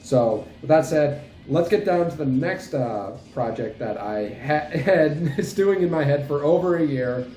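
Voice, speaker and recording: A man's voice: on the high side, expressive, measured pace, hardly any pauses, warm tone, reverberant, soft background music. A man giving a casual, unscripted update as a channel host, talking in a hesitant, rambling, self-deprecating way.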